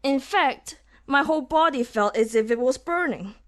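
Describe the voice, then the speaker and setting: A woman's voice: high-pitched, animated, normal speed. A young woman reading a story aloud as an amateur voice actor, often laughing and restarting her lines. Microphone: home microphone that cuts out.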